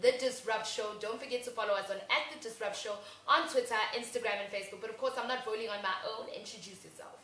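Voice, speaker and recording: A woman's voice: a little high, fast, few pauses. Young South African woman, chatty show co-host, echoey room, faint hiss. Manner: lively, chatty, agreeable. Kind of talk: casual chat.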